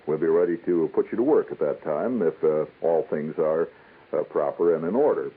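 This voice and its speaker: An American man voicing a polite, officious store personnel manager with a formal, halting manner in a radio story. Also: a bass voice, slightly rough. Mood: courteous, businesslike. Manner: formal, hesitant, halting with many uhs. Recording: dull lo-fi radio broadcast.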